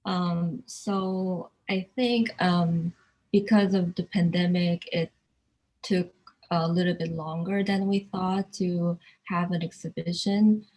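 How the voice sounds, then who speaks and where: Slow, halting woman from South Korea. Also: on the low side, fairly even intonation, a little mellow, low-quality recording. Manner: halting, searching for words. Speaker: artist. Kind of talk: virtual artist talk.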